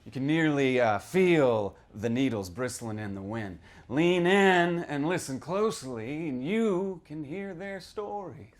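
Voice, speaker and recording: A man's voice: fairly high pitch, animated, measured pace, hardly any pauses. A man reading horror fiction aloud as a boozy old small-town storyteller, cagey and conspiratorial, prodding the listener and repeating himself. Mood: ominous, conspiratorial. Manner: theatrical, conspiratorial, repeats phrases, prods listener with "huh" and "hmm". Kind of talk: dramatic first-person horror reading.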